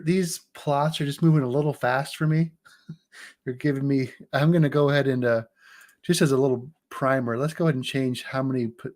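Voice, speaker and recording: A man in his forties chatting casually on a livestream as he walks through his engineering software, joking and restarting his sentences. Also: mid-range voice. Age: 40s.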